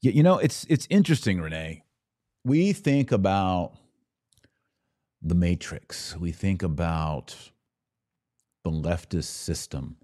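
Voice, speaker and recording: A man's voice: sits low, animated, slow pace, long pauses, mellow, no room sound, clean studio sound. A man hosting a podcast, chatting casually to viewers with wry asides as he comments and reads out their messages. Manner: conversational, wry joking asides. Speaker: podcast host.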